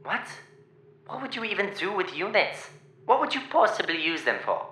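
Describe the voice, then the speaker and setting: A woman's voice: mid-range voice, big pitch swings, normal speed, pushed and bright. A woman playing an earnest robot science officer in a science fiction audio drama, speaking formally and literally. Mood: objecting. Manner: formal, literal, matter-of-fact. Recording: roomy echo, faint noise.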